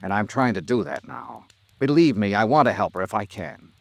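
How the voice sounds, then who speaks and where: Urgent, earnest American radio detective. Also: male voice, on the low side, lively intonation, brisk, vocal fry. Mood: earnest, pleading. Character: insistent, earnest male insurance investigator, gentle but hard-boiled. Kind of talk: old-time radio drama acting.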